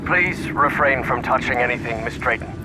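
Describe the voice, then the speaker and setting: An actor playing a protective, stern, scholarly professor in a video game, with formal, precise diction. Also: male voice, mid-range voice, moderate pace, loud music behind the voice, close to an everyday voice, poor audio. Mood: wary.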